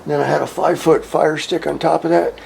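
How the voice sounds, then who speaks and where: An American man with a gravelly voice, talking casually and informally as a hobbyist vlogger. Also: fairly high pitch, flat delivery, fast talker, darkish tone, slight background noise. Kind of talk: casual hands-on gear talk.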